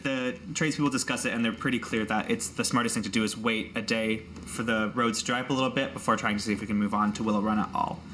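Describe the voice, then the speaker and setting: A man's voice: lowish voice, fairly even intonation, quick pace, few pauses, a bit bright, slight background noise. A man working as game master, improvising narration for a tabletop game in a casual, conversational way.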